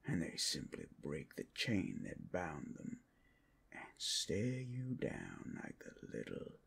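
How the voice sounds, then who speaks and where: Guy doing a cursed werewolf for asmr roleplay, super slow n husky, predatory, keeps slipping into whispers. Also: deep voice, huge pitch swings, fairly bright tone, heavily acted. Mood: menacing, taunting. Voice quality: gravelly, husky, often breaking into whispers. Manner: slow, dramatic, swinging between whispers and outbursts. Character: menacing, cursed captive werewolf man, predatory and taunting. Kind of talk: ASMR audio roleplay acting.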